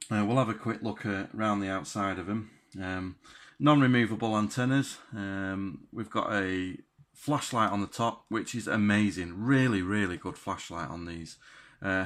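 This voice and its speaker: A man giving a casual, unscripted product review in a halting but enthusiastic way. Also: fairly low pitch, fairly bright tone. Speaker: radio gear reviewer.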